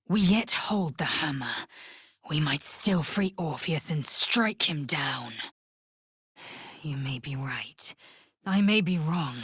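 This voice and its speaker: Video game role: proud, scornful female alien warrior. Low, raspy voice, curt commands, measured delivery. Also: no echo, studio quality, full character voice. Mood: grave, resolute. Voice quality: husky, raspy. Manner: imperious, clipped, deliberate. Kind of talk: video game character voice lines.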